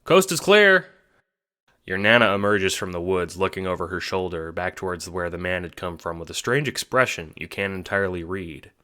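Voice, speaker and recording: A man's voice: deep voice, steady tone, a little fast, hardly any pauses, bright, forceful tone. A man narrating a scripted story in the second person, in the style of an audiobook.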